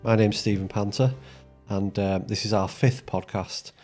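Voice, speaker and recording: Deep-voiced Yorkshire man hosting a podcast. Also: fairly even intonation, moderate pace, darkish tone, soft background music. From Yorkshire, England. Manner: hesitant, many ums and restarts. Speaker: podcast host. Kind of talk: casual interview chat.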